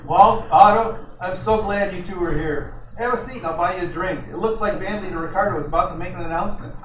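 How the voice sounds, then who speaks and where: A man's voice: sits high, fast talker, natural voice. A man playing a wry, unflappable, hard-drinking private detective in an old-time radio drama. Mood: casual, wry. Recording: echoey noisy room, distant mic.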